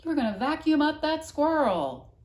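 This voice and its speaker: A woman's voice: high voice, sing-song, moderate pace, darkish tone, far from the mic, voice pushed up. A woman voicing a spunky, cynical young girl who loves comics, speaking emphatically, in a roomy-sounding recording. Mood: exclaiming. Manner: emphatic, exclaiming.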